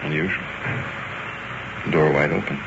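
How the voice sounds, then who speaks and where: Very deep American man, terse radio reporter. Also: measured pace, vocal fry. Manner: terse, clipped observations. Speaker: show's reporter riding along with police. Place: out on a night police ride-along. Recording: muffled, very noisy 1950s radio field recording.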